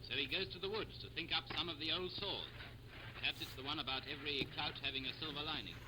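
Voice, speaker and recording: Vintage newsreel announcer, male, brisk and wry, noisy 1930s film sound. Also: on the high side. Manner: wry, punning. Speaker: newsreel narrator.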